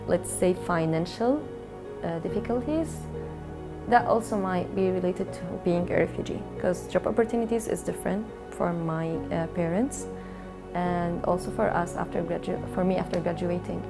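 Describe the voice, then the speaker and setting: Female, 20s, from Syria. Thoughtful, searching documentary interviewee, mid-range voice, clear music bed. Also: mid-range voice. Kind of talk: personal interview, recollection. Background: background music.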